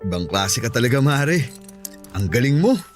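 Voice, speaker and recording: A man's voice: mid-range voice, big pitch swings, moderate pace, lightly acted. Man acting a flirty smug filipino lover, medium voice, sweet talk, faint music, radio drama. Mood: satisfied, flirtatious. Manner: sweet-talking, intimate. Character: smug, flirtatious married woman's male lover.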